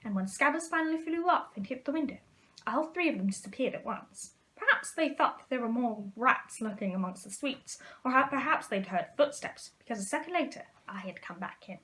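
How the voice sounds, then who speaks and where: High-voiced woman reading a story aloud. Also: expressive, a little fast, bright, forceful tone, poor audio. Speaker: storyteller in character as a witch. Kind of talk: storybook read-aloud narration.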